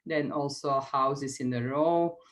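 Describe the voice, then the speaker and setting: A woman in her sixties with a Serbian accent gives an expert technical presentation online. Her delivery is even and fairly flat in pitch. She proceeds haltingly, pausing often as she searches for the next phrase. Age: 60s. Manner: hesitant, halting phrasing with frequent pauses. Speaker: expert presenter, structural engineer.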